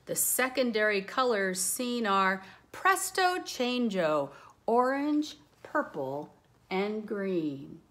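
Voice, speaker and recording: A woman reading a picture book aloud with expressive, dramatic emphasis, lingering on words and adding casual asides. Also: mid-range voice, slow. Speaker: read-aloud storyteller.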